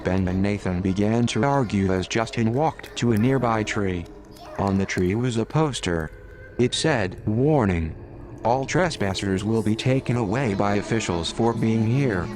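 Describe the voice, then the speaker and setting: A man narrating an adventure story aloud in an unhurried, deliberate way. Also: on the low side, darkish tone, slight background noise. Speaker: author reading own story.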